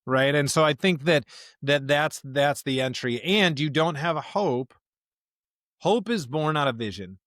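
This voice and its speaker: A man's voice: on the high side, lively intonation, a little slow, a bit bright, no room sound, clean studio sound. A man co-hosting a podcast, discussing scripture conversationally and emphatically, with stop-start phrasing and rhetorical questions. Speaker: podcast co-host, member of clergy.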